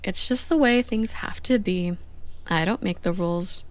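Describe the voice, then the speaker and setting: A woman playing a playful, bossy young girlfriend on a slow morning in an intimate, conversational ASMR roleplay. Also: medium pitch, natural voice. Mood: smug, teasing.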